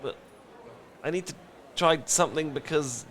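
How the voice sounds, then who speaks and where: Guy acting as flustered british best friend in a romcom audio thing, kinda high voice, stammery and pleading, bit of background noise. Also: moderate pace, a bit bright, lightly acted. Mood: awkward, apologetic. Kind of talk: comedic romantic audio roleplay acting.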